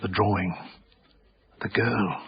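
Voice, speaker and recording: An actor playing a wry, literary art dealer, narrating his own story in measured, florid phrases for radio drama. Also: lowish voice, steady tone, vocal fry, slightly rough, highs cut off, heavily acted. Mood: ominous, wry.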